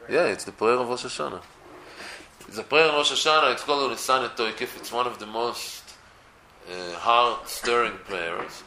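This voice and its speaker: Israeli guy talking torah casually, gravelly bright voice, swings up and down a lot, echoey room. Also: mid-range voice, normal speed. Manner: animated, many restarts, rising to emphatic peaks. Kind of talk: casual religious talk.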